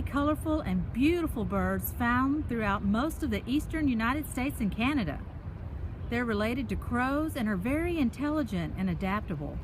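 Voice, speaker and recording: A woman reads a picture book aloud to children while walking outside in a park, adding chatty explanations and questions for her young listeners. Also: sits high. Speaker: picture book reader and host. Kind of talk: reading a children's story aloud with chatty asides. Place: outdoors, walking along a park path. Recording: noisy outdoor ambience while walking.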